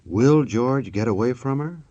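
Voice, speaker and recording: An actor playing a radio drama announcer, delivering suspenseful scripted narration in a vintage style. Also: male voice, medium pitch, expressive, measured pace, warm tone, no echo, soft background music. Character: suspense-building male radio serial announcer. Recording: dull old radio recording.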